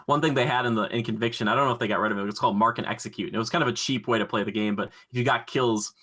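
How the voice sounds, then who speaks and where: A man co-hosting a podcast in casual chat, pitching his ideas in an excitable, slangy, rambling way. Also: rapid-fire. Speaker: podcast co-host.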